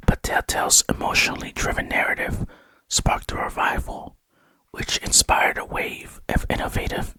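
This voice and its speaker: A man narrating a gaming video in a breathy ASMR whisper, speaking softly and in a measured way close to the microphone. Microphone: close microphone, dry sound.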